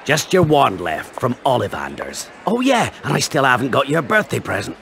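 An actor voicing a big, kindly, rough giant groundskeeper in a video game, hearty and rustic. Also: male voice, mid-range voice, huge pitch swings, moderate pace, fairly bright tone, slight background noise, full character voice. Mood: jovial. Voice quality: gravelly.

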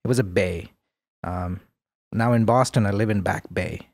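A man in his forties, born in India, speaking as a podcast guest in a measured, thoughtful way with many pauses and fillers, on a boom mic in a studio. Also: dry recording. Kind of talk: podcast interview. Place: studio room with plants. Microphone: boom mic with headphones.

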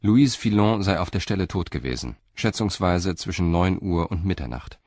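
A man narrating a scripted German crime story in a sober, measured manner. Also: low voice, lively intonation, a little mellow, no echo, studio quality.

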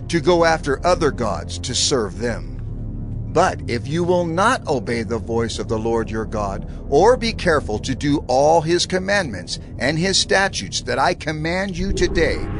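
A man playing a stern prophet in a dramatized audio Bible, declaiming solemnly. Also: on the high side. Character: stern male prophet pronouncing divine blessings and curses. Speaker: scripture narrator. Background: music.

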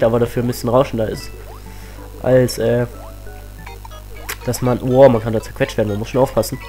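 A teenage boy with a cold, chatting excitedly as he plays a platform game on his channel, full of rambling asides. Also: mid-range voice. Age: teens. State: has a cold. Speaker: let's play host. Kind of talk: casual game commentary.

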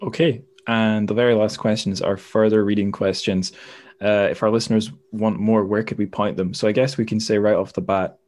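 A man's voice: fairly low pitch, steady tone, brisk, a little mellow. A man hosting a podcast in a casual, chatty interview style. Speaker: podcast host.

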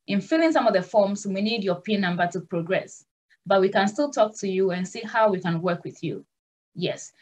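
Young nurse hosting a live Q&A; reassuring, plain-spoken, video-call sound. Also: female voice, mid-range voice. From Ghana. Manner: earnest, direct and reassuring. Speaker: nurse and migration consultant host. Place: small room at home.

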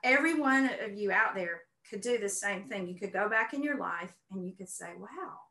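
A woman's voice: mid-range voice, animated, normal speed, sharp tone. A middle-aged woman talking as a guest in an earnest, effusive conversational way, often repeating phrases for emphasis. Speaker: guest, painter and art teacher. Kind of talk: conversational personal testimony. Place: indoor room at home, on video call. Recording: roomy, echoey video call sound.

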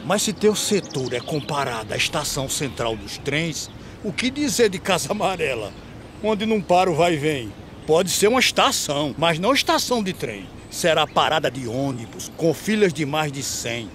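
An actor playing a seasoned, wry gravedigger in an animated film, countering in verse. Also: male voice, a little high, moderate pace, faint music behind. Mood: sardonic. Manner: wry, contradicting. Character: wry male career gravedigger, seasoned, one-upping colleague.

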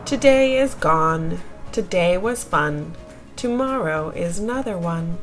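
A woman reading a rhyming picture book aloud for young children in an expressive, deliberate storytelling manner. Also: on the low side, faint music behind.

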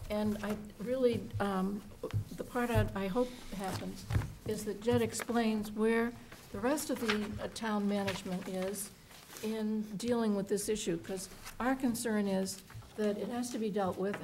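A woman's voice: mid-range voice, fairly even intonation, moderate pace, background noise. An older woman on a planning board, asking direct, earnest questions in a meeting discussion. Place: town hall meeting room.

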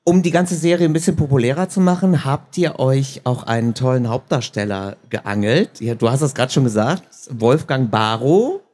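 A man with an average-pitched voice interviews guests on stage. He speaks conversationally into a handheld microphone. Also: moderate pace, few pauses, a little mellow. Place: stage at a book fair.

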